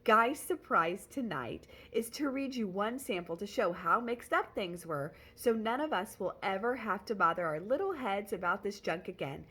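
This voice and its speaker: A woman voicing a brittle wife who coaxes in a falsely bright, chattering way. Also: on the low side, very animated, measured pace, husky, full character voice. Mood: coaxing. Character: brittle, chattering wife covering up with forced cheer.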